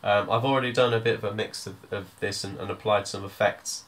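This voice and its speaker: Male tutor, lower register, informal step-by-step software walkthrough with a hesitant, thinking-as-he-goes delivery. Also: steady tone, brisk. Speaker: music tutor.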